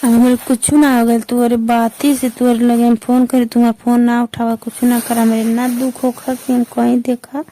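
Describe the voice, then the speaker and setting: A woman's voice: on the high side, level tone, moderate pace, hardly any pauses, mellow, slight background noise. A young woman with a Bhojpuri accent talking casually on a phone call, blunt and dismissive. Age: young adult. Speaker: caller. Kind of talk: casual phone chat with an ex-lover.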